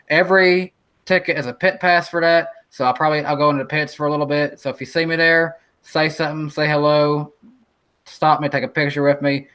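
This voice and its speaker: A man in his early twenties hosts a relaxed podcast call. His voice sits a bit higher than most men's. He restarts his sentences often, repeating words before he gets going. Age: 20s. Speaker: podcast host. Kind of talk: casual podcast chat.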